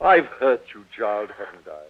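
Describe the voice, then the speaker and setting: A man playing a doting, excitable middle-aged father and veteran stage actor in a 1940s radio drama, with florid delivery. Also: fairly low pitch, normal speed. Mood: tender, remorseful. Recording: tinny, noisy 1940 radio recording.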